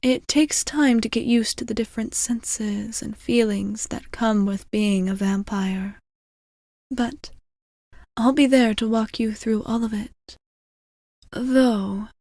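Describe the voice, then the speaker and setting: Slow, soft-spoken vampire woman, acted out by a woman. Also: a little high, no room sound, clean studio sound. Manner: slow, soft, soothing, deliberate, often dropping into whispers. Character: gentle, caring centuries-old female vampire bartender. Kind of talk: ASMR roleplay spoken to the listener. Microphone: close microphone, very dry.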